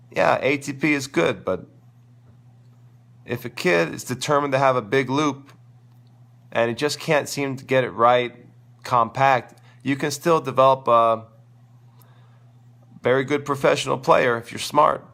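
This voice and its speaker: Hoarse, gravelly man coaching tennis. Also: medium pitch, unhurried, pauses often, a bit bright. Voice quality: crackly, gravelly voice. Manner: enthusiastic, rambling, thinks aloud. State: sore throat, losing his voice. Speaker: tennis coach and show host. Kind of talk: live-stream Q&A talk.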